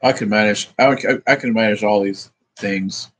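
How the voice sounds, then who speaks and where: Husky-voiced man rambling and swearing. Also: on the low side, normal speed. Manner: profane, meandering, thinks aloud while doing sums. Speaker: vlogger. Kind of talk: rambling monologue.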